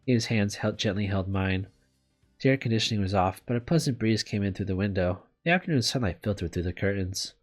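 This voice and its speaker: A man's voice: lowish voice, a little fast. A man narrating a visual novel as a let's player, sometimes stumbling and rereading words. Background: music.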